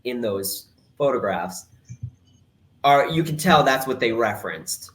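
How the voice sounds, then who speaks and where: A man's voice: medium pitch, animated, normal speed, fairly bright tone. A man with a slight Rhode Island accent, co-hosting a podcast and explaining things in earnest detail. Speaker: podcast co-host. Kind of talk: casual podcast chat.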